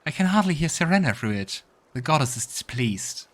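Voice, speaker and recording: Man voicing a frustrated, haughty young priestess, pitched up. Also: big pitch swings, a little fast, a bit bright. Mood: scolding. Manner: indignant, formal.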